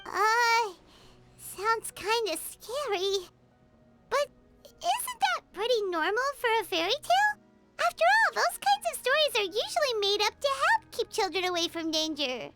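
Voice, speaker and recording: A woman voicing a tiny, excitable, skittish fairy companion with a childlike manner in a video game. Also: very high voice, unhurried, over music, exaggerated voice. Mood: uneasy, doubtful. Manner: speaks of herself in the third person, exclamatory.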